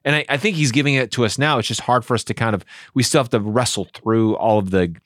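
A man's voice: mid-range voice, fast, no echo, clean studio sound. A man, a pastor, talking earnestly and with animation in a casual theology discussion. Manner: earnest, animated, acts out inner voices.

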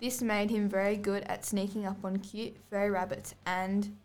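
A woman's voice: mid-range voice, level tone, moderate pace, darkish tone, soft background music. A girl of about eleven or twelve reading scripted storybook narration aloud as a student podcast host. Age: child, about 11 to 12.

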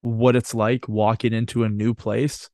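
A man's voice: on the low side, level tone, a little slow, warm tone, no echo, studio quality. A man hosting a podcast in casual chat, rambling and joking, with a casual swear now and then. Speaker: podcast host.

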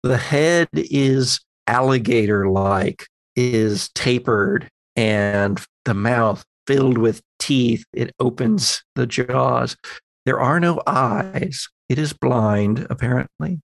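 A man runs a horror tabletop game, describing scenes as he invents them. His speech is slow and deliberate, with halting pauses. The sound is dry, with little room on it. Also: medium pitch, gravelly, no echo. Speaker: game master. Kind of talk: improvised tabletop horror narration.

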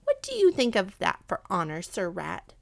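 A woman's voice: medium pitch, huge pitch swings, moderate pace, vocal fry. A woman voicing a sly, haughty female cat in a children's story, with a purring, scornful, commanding manner. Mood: indignant. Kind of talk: children's animal storybook character.

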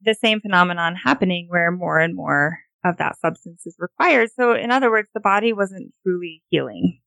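A woman with a Montana accent, a guest homeopath, explaining in a steady way with a few fillers. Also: fairly low pitch, normal speed, gravelly, dry recording. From Montana, USA. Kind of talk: explanatory interview answers.

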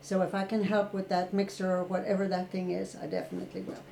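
A woman's voice: medium pitch, little pitch movement, fast, gravelly, reverberant, a crowd in the background. An older woman with an Irish accent, a podcast guest telling her own story in a candid, reflective way. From Ireland. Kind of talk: personal recovery story in a podcast interview.

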